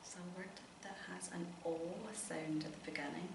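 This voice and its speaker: Scottish lady reading a kids story, lowish voice, encouraging and asking questions, echoey room, bit of noise. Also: steady tone, moderate pace, vocal fry. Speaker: teacher reading a story to a child. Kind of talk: interactive storybook reading, child-directed. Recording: echoey room, distant mic, noisy background.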